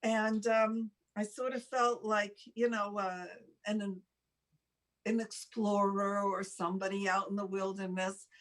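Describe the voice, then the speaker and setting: An older woman with a middle-range voice tells a casual story as a talk show host. She rambles and pauses as she goes, gently putting herself down. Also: mid-range voice, flat delivery. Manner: rambling, halting, self-deprecating.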